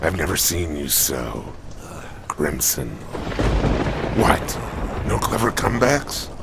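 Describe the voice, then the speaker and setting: Gravelly, menacing villain. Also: male voice, low-pitched, big pitch swings, takes it slowly, full character voice. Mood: gloating, menacing. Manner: taunting, barking orders. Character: menacing knife-throwing villain henchman, gloating and short-tempered. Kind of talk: superhero audio drama acting. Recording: loud ambient noise under the voice.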